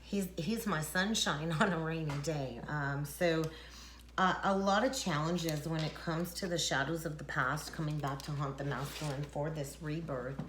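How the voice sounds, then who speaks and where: Low-voiced woman, echoey room. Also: steady tone, moderate pace, fairly bright tone. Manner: rambling, chuckling, many fillers. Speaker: tarot reader. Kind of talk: casual spiritual talk to camera. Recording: echoey room, faint noise.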